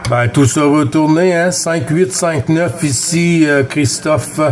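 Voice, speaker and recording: Quebecois guy running cb contacts, slow delivery, friendly, repeats himself a lot. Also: a little high, a little hiss or hum. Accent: Québécois. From Quebec, Canada. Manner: friendly, chatty, courteous, often repeats himself. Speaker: CB radio operator running a contact net. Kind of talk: CB radio contact chat.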